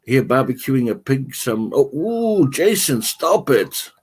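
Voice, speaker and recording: Male livestream host, middle-range voice, slow and halting delivery as he answers comments. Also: mid-range voice, sing-song, a little mellow, breathy edge. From New Zealand. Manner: answers viewer comments one by one, halting and unhurried. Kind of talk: casual livestream chat.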